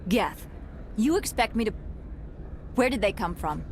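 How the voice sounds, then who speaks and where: A woman's voice: medium pitch, expressive, a little slow, a little hiss or hum, lightly acted. A woman playing a stern, sceptical security captain in a video game, clipped and formal. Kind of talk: scripted video game acting.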